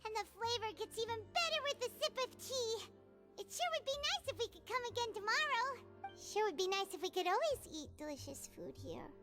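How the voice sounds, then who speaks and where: Super high squeaky little fairy sidekick girl, bouncy pitch, anime game, cheerful. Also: animated, a little fast. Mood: content. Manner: speaks of herself in third person, exclamatory. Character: tiny floating fairy-like girl companion, cheerful, food-loving, childlike. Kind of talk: anime-style video game voice acting. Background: background music.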